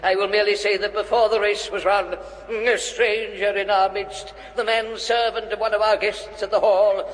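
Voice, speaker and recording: A man's voice: high voice, flat delivery, moderate pace, big-room sound, lightly acted, rough recording. A man playing a pompous, kindly old country vicar with an upper-class English accent, speaking formally and ponderously in a radio comedy. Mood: grave, solemn. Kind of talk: British radio comedy acting.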